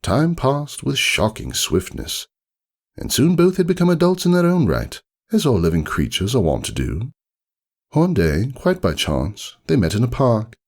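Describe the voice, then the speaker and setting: A man's voice: mid-range voice, big pitch swings, a little slow, darkish tone, pitched above the performer's own voice. A man playing a haughty, melancholy aristocratic unicorn who narrates his own story in a wordy, theatrical, ruminative storybook manner. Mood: storytelling, melancholy. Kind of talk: dramatic storybook reading, first-person monologue.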